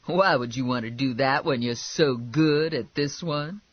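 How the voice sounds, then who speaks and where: A man voicing a brash, sassy, loudmouthed woman with blunt, slangy, indignant talk in an audiobook. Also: medium pitch, measured pace, no echo, highs cut off, close to an everyday voice. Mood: teasing.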